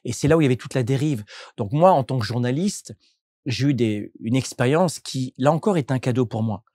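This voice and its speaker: A man's voice: mid-range voice, lively intonation, brisk, mellow, dry recording, clean studio sound. A man from France, a coach and entrepreneur, answering interview questions in a reflective, explanatory way with frequent restarts.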